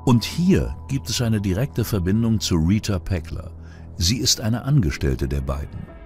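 A man's voice: fairly low pitch, expressive, normal speed, a little mellow. A man narrating a true-crime documentary from a script. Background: music bed.

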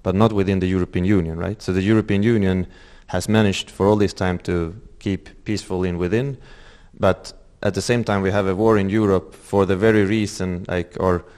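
Young man, low voice, Swedish accent, earnest, halting, panel discussion. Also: fairly even intonation, a little mellow. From Sweden. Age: young adult. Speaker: panel participant. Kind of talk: discussion contribution. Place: medium indoor room with seated audience. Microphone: handheld microphone.

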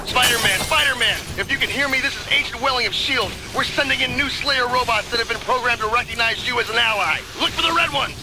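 Music runs behind a military agent in a video game. He pushes his voice very high, barking clipped commands and urgent distress calls like an officer in a crisis. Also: fast talker, hardly any pauses, poor audio.

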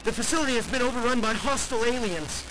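A woman's voice: a little high, animated, fast talker, natural voice. A woman voicing an alarmed mining station worker pleading urgently for rescue in a video game. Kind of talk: video game distress transmission. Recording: noisy radio distress transmission.

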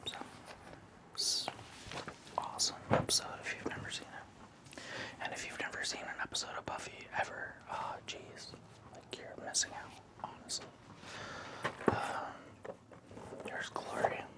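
A man in his thirties doing ASMR, hesitant, moving between a whisper and a soft voice in a small room indoors. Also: a little slow, pauses often. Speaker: ASMR video host.

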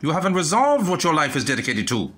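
A man's voice: on the high side, wildly swooping pitch, quick pace, sharp tone, a little hiss or hum, heavily acted. Challenging Russian party official played by a man. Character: self-assured Russian male party official, challenging, propagandist. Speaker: voice actor. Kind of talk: historical film drama acting.

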